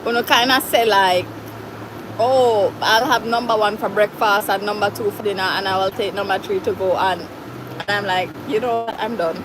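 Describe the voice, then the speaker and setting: A woman's voice: medium pitch, fairly even intonation, normal speed, background noise. A woman with a Jamaican accent hosting a casual livestream, reading out viewer comments in a chatty way and slipping into Patois phrases. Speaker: livestream host.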